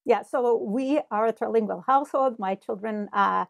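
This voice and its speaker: Woman, gravelly, dry. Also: a little high, normal speed, no echo. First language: Hebrew. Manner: thoughtful, explanatory, many fillers. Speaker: cognitive scientist guest. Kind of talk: interview conversation. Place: home office with bookshelves. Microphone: earbud headset over video call.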